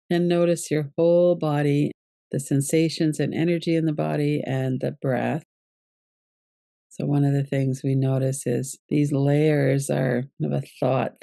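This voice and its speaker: A woman teaching yoga nidra, leading a guided relaxation meditation in a calm, gentle, unhurried way. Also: sits low, gravelly, dry recording, studio quality.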